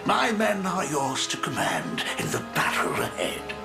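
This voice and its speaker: An actor playing a smug, gloating plague-spreading villain in a fantasy video game, mocking and theatrical. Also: male voice, fairly high pitch, huge pitch swings, measured pace, vocal fry, over music, heavily acted. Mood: sly.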